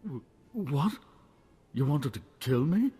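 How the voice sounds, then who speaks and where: Captive game aristocrat: hoarse, frightened outrage, extremely animated pitch, long reverb. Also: male voice, takes it slowly, exaggerated voice. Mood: shocked. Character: indignant, frightened spoiled captive nobleman. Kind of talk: video game voice acting.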